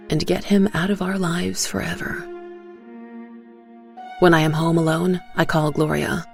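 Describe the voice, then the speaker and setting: Gravelly woman narrating a suspense story. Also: deep voice. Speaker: narrator and voice actor. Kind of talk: first-person dramatic scripted narration. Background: faint music.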